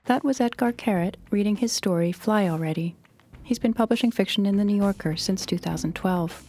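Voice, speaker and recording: A woman delivers a scripted podcast announcement as its announcer. Her voice is mid-pitched with a very mellow, relaxed tone. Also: a little fast.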